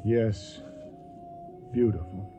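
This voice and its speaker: An actor playing a guarded, gentle young Yankee stranger in a radio drama, speaking hesitantly in a hushed, creaky voice. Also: male voice, on the low side, drawn-out words, faint music behind. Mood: tender. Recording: muffled radio tape.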